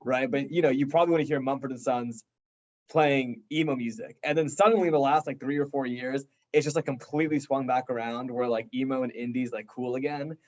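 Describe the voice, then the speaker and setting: American man, mid-pitched voice, casual band-interview chat, acts out quotes, somewhat muffled lo-fi recording. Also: fairly even intonation, brisk. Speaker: band member, interview guest. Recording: slightly dull lo-fi audio.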